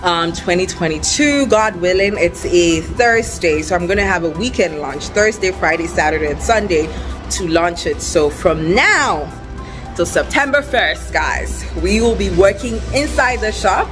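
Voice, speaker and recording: A young woman chatting casually and excitedly to viewers as a vlogger and business owner, recorded on a camera at arm's length. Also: lowish voice, unhurried, music in the background. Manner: excited, upbeat. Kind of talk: casual vlog chat to viewers. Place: outdoor storefront and bare empty shop room.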